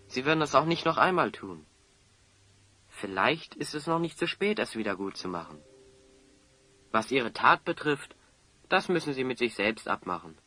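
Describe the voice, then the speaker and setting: An actor playing an upright, stern but merciful hero in a German audio drama, speaking in a measured, formal, sardonic and moralizing way. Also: male voice, medium pitch, pushed and bright. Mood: solemn, forgiving.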